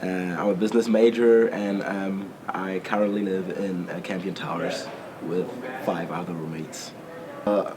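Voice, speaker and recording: A man in his twenties from Germany answering interview questions, hesitant with many fillers and restarts. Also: lowish voice. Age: 20s. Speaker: student athlete interviewee. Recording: noisy interview recording.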